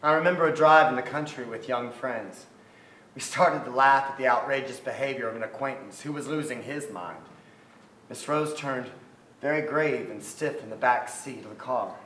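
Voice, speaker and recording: Actor as reflective playwright in echoey theatre. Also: male voice, mid-range voice, moderate pace, pushed and bright, natural voice. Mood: regretful. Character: reflective Southern playwright remembering his beloved troubled sister. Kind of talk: dramatic staged reading of memoir. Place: theatre stage before an audience. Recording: echoey theatre stage, noticeable noise.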